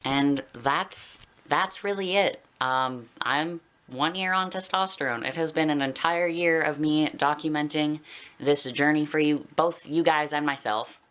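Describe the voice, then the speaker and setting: A man's voice: a little high, measured pace, fairly bright tone, smooth. A young adult man with a Canadian accent talking casually and candidly to viewers in a personal vlog, rambling a little. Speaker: video blogger.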